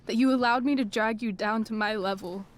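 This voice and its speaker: A poised teenage schoolgirl played by a woman with a fairly high voice. She sounds earnest and measured, a persuasive talker in a naturalistic video game drama. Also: natural voice. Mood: contrite, earnest. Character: poised, persuasive teenage girl, a convincing schemer.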